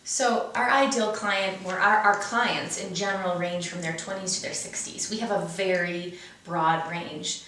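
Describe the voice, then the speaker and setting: A woman's voice: lowish voice, fairly even intonation, measured pace, few pauses, bright, forceful tone, slight background noise. A woman guest explaining her wellness program in earnest, casual conversation. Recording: echoey room, distant mic.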